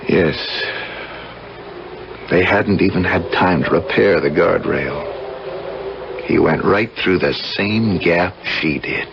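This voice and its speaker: An actor playing a remorseful, resigned man mourning his brother in grave, measured radio-drama speech. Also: deep voice, vocal fry, heavily acted. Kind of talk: 1970s radio drama acting. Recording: dull radio recording, background noise.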